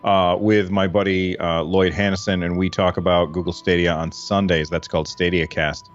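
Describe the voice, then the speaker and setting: A man's voice: sits low, little pitch movement, moderate pace, lo-fi sound, faint music behind. A man guesting on a podcast who speaks in a hesitant way, full of fillers and restarts. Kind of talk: casual podcast chat.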